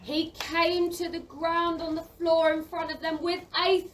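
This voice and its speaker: A woman narrating an amateur audio play in an expressive storybook style, recorded in a noisy room. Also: very high voice, a little slow. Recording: noisy room, slightly distant mic.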